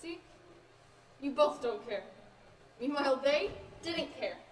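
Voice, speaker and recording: A woman's voice: sits high, animated, slow. A young woman acting a guarded, defensive, lonely character in a student stage play. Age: young adult. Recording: echoey room, far from the mic.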